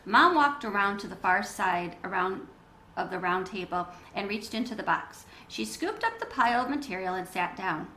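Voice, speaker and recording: A woman reading a children's story aloud in an expressive, emphatic, teacherly style. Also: mid-range voice, moderate pace. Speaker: children's read-aloud reader. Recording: roomy echo with background noise.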